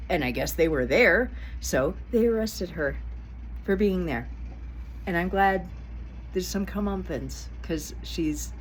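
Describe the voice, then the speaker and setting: A woman's voice: fairly low pitch, slight background noise, rough recording. Indignant woman vlogger, blunt and profane. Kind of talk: casual commentary vlog.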